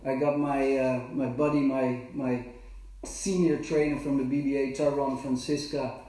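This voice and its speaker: Halting bartender in an echoey kitchen. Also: male voice, mid-range voice, level tone, dark tone, distant mic. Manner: halting, many fillers and restarts. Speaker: bartender and cocktail trainer. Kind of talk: casual live demonstration. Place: home kitchen. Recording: very echoey, from off the mic.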